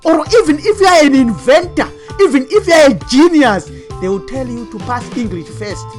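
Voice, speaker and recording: A woman with a fairly high voice lectures like a preacher, stacking one rhetorical question on another as she talks through pages on screen. Soft music plays faintly underneath her voice. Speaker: online teacher and commentator. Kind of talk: commentary over web pages.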